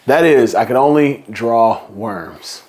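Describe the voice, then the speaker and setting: Slow, playful man reading to kids. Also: medium pitch, animated, darkish tone. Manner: slow, playful storybook reading with drawn-out counting. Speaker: children's book reader. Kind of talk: reading a picture book aloud.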